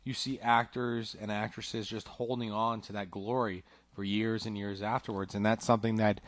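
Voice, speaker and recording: A man's voice: fairly low pitch, little pitch movement, normal speed, no echo. A man co-hosting a casual chat show, speaking hesitantly and searching for words. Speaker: podcast co-host.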